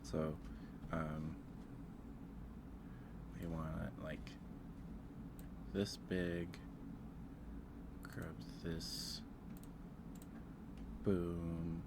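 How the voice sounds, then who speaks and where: A man's voice: sits low, flat delivery, extremely slow, pauses often, very noisy. A man hosting a casual livestream, thinking aloud in a hesitant way. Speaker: livestream host.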